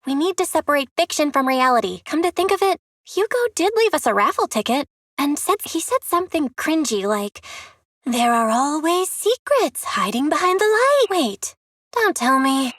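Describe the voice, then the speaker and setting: High, animated young woman. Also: moderate pace, no room sound, studio quality. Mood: puzzled, teasing. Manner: teasing, expressive. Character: lively, sceptical young woman, teasing and quick-witted. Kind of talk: video game voice acting.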